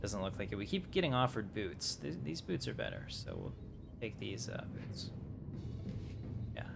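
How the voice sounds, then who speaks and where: Casual male game streamer over loud music. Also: lowish voice, sing-song, moderate pace, vocal fry. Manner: rambling, conversational. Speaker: game streamer, channel host. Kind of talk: casual live gameplay commentary. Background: loud game music and effects.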